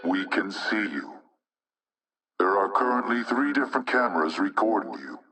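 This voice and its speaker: An actor playing an anonymous male hacktivist spokesman in a video game propaganda broadcast, speaking in a measured, rhetorical, insinuating way with menace. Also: lowish voice, sing-song, pushed and bright, distant mic, exaggerated voice. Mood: menacing, defiant.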